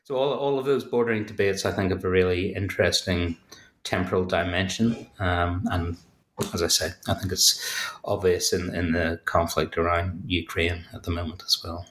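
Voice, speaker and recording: A man's voice: low voice, fairly even intonation, moderate pace, a bit bright, roomy sound. A man with a Belfast accent, an author giving thoughtful, hesitant interview answers full of fillers and restarts. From Northern Ireland. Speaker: author and academic guest.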